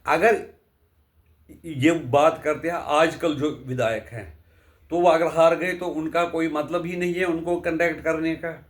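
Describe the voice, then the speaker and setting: A man gives unscripted political commentary in a deliberate, halting way, often posing rhetorical questions. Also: a little high, fairly even intonation. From India.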